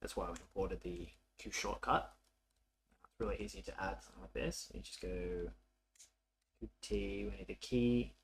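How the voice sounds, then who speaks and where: A man narrating a coding tutorial casually, thinking aloud in an informal, halting way as he works. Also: on the low side, gravelly, slight background noise. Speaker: programming tutorial presenter.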